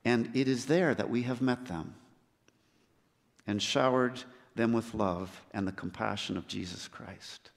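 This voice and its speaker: A man preaching a sermon as a missionary, earnest, with measured and emphatic pauses. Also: lowish voice, steady tone, creaky. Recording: long hall reverb.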